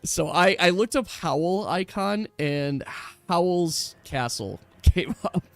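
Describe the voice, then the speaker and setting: A man's voice: high voice, animated, takes it slowly, fairly bright tone, faint music behind. A man acting as game master of a casual tabletop role-playing game, chatty and explaining the rules as he goes.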